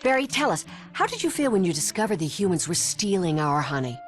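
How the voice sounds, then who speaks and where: An actress playing a probing bee talk-show interviewer in a cartoon video game, with a broadcast host delivery. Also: female voice, lowish voice, big pitch swings, a little fast. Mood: inquisitive.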